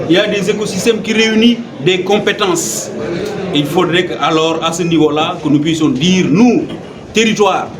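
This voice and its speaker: A man with a Senegalese accent giving a formal press statement as an agency director, emphatic and rhetorical, repeating phrases for weight. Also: high voice. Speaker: director general of a local development agency. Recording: roomy, distant mic, clearly noisy surroundings.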